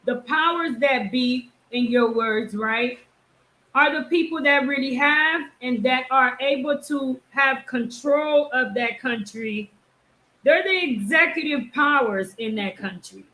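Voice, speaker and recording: A woman's voice: high voice, slow pace, sharp tone, reverberant, low-quality recording. A woman hosting a casual live interview, asking her guest pointed questions. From Haitian, born in the United States. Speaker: host.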